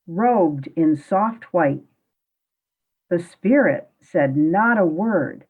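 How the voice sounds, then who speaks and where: Slow storytelling woman on a tinny line. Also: on the low side, slightly rough. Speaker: narrator. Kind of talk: scripted storytelling narration. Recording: phone-like thin recording.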